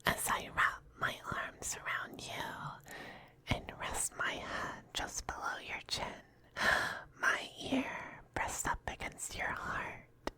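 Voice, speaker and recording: A performer acting a smug, affectionate demon femboy in an intimate ASMR roleplay, teasing and coy, with breathy turns into whispers. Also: takes it slowly, bright, forceful tone. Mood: tender, coaxing.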